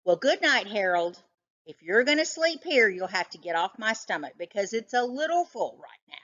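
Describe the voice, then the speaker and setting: A woman's voice: medium pitch, very animated, moderate pace, fairly bright tone, muffled recording. A woman voicing a naive, good-natured, food-loving male dog in a children's storybook, with an earnest, innocent manner. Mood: curious, sleepy. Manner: earnest, innocent, striving for dignity. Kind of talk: children's storybook read-aloud.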